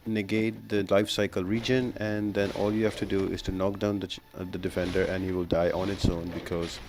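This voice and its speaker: Man, fairly low pitch, instructional gaming commentary, explanatory delivery, faintly noisy recording. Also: level tone, brisk. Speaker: gaming guide creator. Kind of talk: gameplay commentary and tips.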